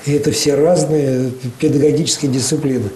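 A man with a soft, dark-toned voice answers interview questions as an official. He explains things in a steady, measured way, often pausing on drawn-out hesitations. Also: medium pitch, slight background noise, rough recording. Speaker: interview guest, cultural organization official.

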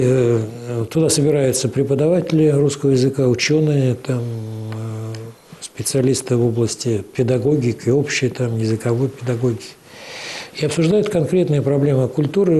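Mellow-voiced man giving measured interview answers. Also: mid-range voice. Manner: measured explaining with frequent hesitations. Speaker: interview guest, cultural organization official.

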